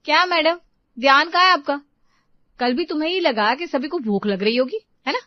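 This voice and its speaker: Woman acting scolding, high-pitched aggrieved daughter-in-law. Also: lively intonation, normal speed, dry recording, lo-fi sound. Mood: angry, scolding. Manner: sarcastic, complaining. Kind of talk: dramatised moral family story.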